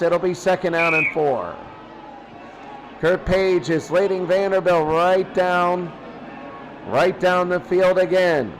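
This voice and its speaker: A man announces a game live in a high-pitched voice. He calls each play emphatically and doubles back on phrases for effect. Faint noise sits behind him. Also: low-quality recording. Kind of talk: live sports play-by-play commentary.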